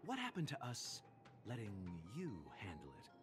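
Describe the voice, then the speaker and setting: A suave, enigmatic gentleman from an anime-style game. He speaks slowly with genteel, measured phrasing and a hugely varied melody, over clear music. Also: medium pitch.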